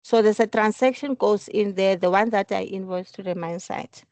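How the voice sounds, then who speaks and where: A woman explaining work procedures in a meeting, halting at times and repeating her phrases. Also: medium pitch, steady tone, a little mellow, dry recording. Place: recorded online work meeting.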